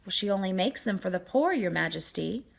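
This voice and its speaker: A woman's voice: mid-range voice, expressive, brisk, creaky. A dutiful soldier in a storybook, read by a woman, reports to his king. The delivery is deferential and matter-of-fact.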